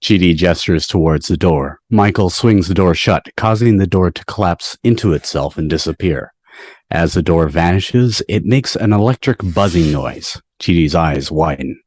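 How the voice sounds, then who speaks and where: A man's voice: low-pitched, moderate pace, darkish tone, no room sound. A man reading scripted stage directions as the narrator of a table read. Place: online video call. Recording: dry video-call audio.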